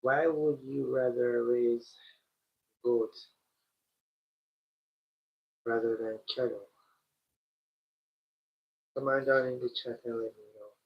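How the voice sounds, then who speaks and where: A man hosting a live stream, talking slowly and directly to his chat, his voice congested and stuffy from a cold. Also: medium pitch, steady tone. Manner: slow, repetitive, addresses the chat directly. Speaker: livestream host. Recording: dull lo-fi stream audio.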